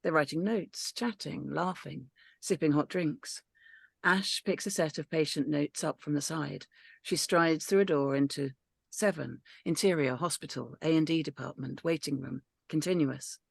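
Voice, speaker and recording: Gravelly, dry-voiced woman narrator. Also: sits low, normal speed, dry recording. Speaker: narrator reading stage directions. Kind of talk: script read-through narration.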